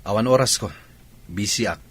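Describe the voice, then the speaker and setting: A man's voice: on the low side, moderate pace. An actor with a Filipino accent playing an irritable husband who curtly brushes off his wife in a radio drama. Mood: curt, dismissive. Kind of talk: Ilocano radio drama acting. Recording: clear background noise, chirping and clicks.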